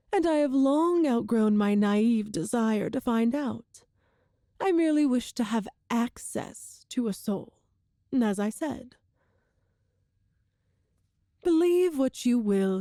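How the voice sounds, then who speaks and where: A woman playing a cruel, seductive evil empress in an ASMR roleplay drama, speaking with imperious, deliberate menace. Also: on the high side, expressive, dark tone, no echo, clean studio sound. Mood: haughty persuasion.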